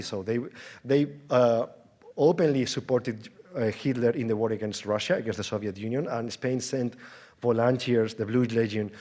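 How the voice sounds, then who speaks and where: A man speaks as an academic presenter at a conference, in a medium-pitched voice. His delivery is halting, often restarting and repeating words. He explains things informally, with conversational asides and rhetorical questions. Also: darkish tone, slightly rough. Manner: halting, with stammered false starts and repeated words. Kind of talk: conference lecture.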